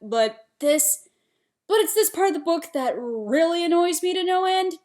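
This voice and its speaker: A woman's voice: extremely high pitch, expressive, normal speed, darkish tone. A young woman vlogging a casual book review, chatty with lots of fillers and some exasperated asides. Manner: chatty, frequent ums, rising exasperation.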